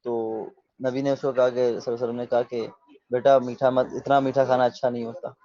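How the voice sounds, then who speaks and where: A man in his thirties in a casual video chat, relaxed and opinionated, slipping English phrases into his talk. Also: mid-range voice, a little fast, no echo. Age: 30s. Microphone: video call mic. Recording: dull lo-fi video call audio.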